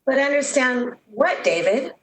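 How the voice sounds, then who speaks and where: A woman's voice: sits high, lively intonation, unhurried. A woman playing a proud, lovestruck young woman in an emotive, melodramatic 1930s-style radio drama. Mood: concerned. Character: lovestruck, proud young switchboard operator, heartbroken. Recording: roomy echo, background noise.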